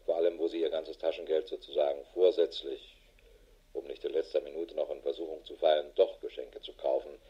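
An actor playing a harried, middle-aged police investigator in a radio drama, matter-of-fact and dry. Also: male voice, extremely low pitch, little pitch movement, brisk, slightly rough, dull audio. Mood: sober, dictating. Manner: matter-of-fact, dry, clipped official phrasing. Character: harried, world-weary male police investigator with a wife. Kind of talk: German radio drama acting, dictated report.

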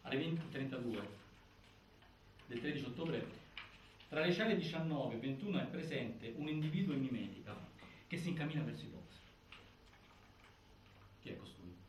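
A man performing as a solemn theatre narrator, reciting a case file in formal, deliberate, declamatory phrases. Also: mid-range voice, expressive, lightly acted. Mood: grave, insistent. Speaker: narrator in a stage inquiry play. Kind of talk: scripted theatrical documentary narration. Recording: echoey, distant, faint noise.

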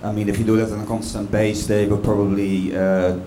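Man on a discussion panel, dark mellow voice, halting with fillers, echoey room, faint noise. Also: fairly low pitch, flat delivery. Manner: hesitant, many fillers, measured. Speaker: panel speaker. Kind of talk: panel talk answering questions.

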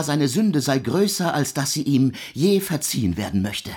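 An actor playing a proud intellectual man in a literary radio drama, with a husky voice and a formal, deliberate, insistent delivery. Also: fairly high pitch, a little mellow, full character voice. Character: proud, intellectual man arguing theology with the devil.

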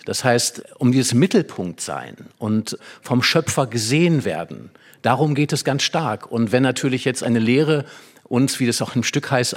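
A man speaking as an expert guest in a conversation about literature, explaining in long, winding sentences. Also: medium pitch. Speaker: expert guest discussing a play.

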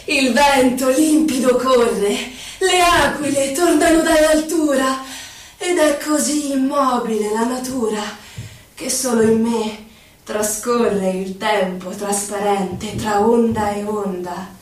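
An actress playing a lyrical, contemplative poetic voice, reciting verse in a deliberate, solemn manner. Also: female voice, a little high, fairly even intonation. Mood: serene, contemplative. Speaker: actress in a poetic theatre piece for radio. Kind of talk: dramatic poetry recital. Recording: very echoey, distant mic.

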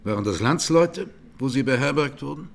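An actor playing a formal, matter-of-fact judge questioning a witness in a radio courtroom drama. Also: male voice, medium pitch, animated, normal speed, gravelly. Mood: formal, inquiring.

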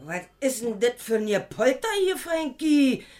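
A woman's voice: fairly high pitch, expressive, unhurried, heavy background noise. An actress playing an alarmed, nosy old female neighbour, fretful and flustered, in a radio crime drama. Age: older.

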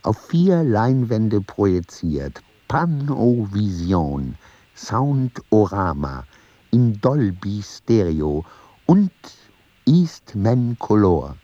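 A man narrating in a radio play, reading texts out in a deliberate, husky voice. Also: lowish voice, big pitch swings, dark tone, no echo, highs cut off, full character voice.

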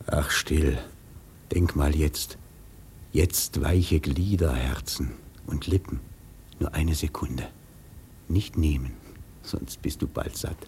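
Teasing worldly sailor in radio play, deep voice, bit of noise behind. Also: male voice, steady tone, takes it slowly, long pauses, a little mellow, heavily acted. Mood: sensual reverie. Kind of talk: German radio drama acting.

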